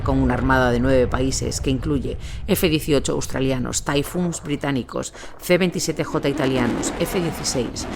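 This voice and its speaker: A man's voice: medium pitch, gravelly. Male war narrator over noisy ambience. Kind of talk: scripted first-person war narration. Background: noisy ambient sound.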